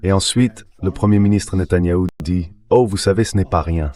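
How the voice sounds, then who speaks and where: A man with a deep, very low synthetic voice speaks as an interview guest. He gives political analysis in a translated, dubbed delivery. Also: fairly quick, mellow.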